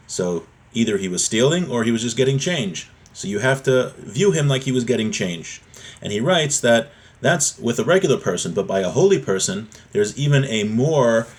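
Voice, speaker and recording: A man giving an informal religious text lesson as a teacher, explaining and restating points with everyday examples. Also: mid-range voice.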